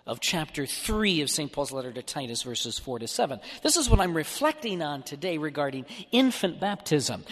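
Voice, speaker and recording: Male preacher, animated sermon delivery, long church reverb. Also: a little high. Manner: animated, mock-whining when playing an upset child. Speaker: priest or deacon preaching a homily.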